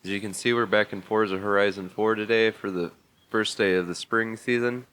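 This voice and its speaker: A man's voice: fairly low pitch, fairly even intonation, fairly quick, fairly bright tone, clear, resonant tone. A man hosting a racing game video, talking casually through a vehicle build while congested from a bad cold. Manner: easygoing, methodical walkthrough with fillers. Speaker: gaming video host. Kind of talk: casual gameplay commentary.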